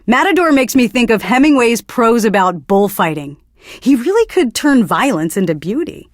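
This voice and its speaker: A woman's voice: a little high, sing-song, normal speed, dry recording, studio quality. An actress playing a bookish, pretentious young female alternative radio DJ in a video game, delivering earnest literary patter.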